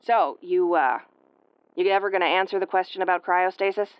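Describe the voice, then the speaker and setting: A woman's voice: fairly low pitch, brisk, clear, resonant tone, dry recording, lo-fi sound, lightly acted. A woman playing a tough, prickly but secretly caring tsundere starship commander in an intimate audio roleplay, brusque and prone to stammering when flustered. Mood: guarded, teasing.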